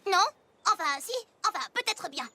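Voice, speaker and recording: Brash, high-voiced cartoon pony. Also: female voice, expressive, normal speed, bright, forceful tone, a little hiss or hum, exaggerated voice. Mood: defensive. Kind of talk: animated cartoon dub. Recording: music behind the voice.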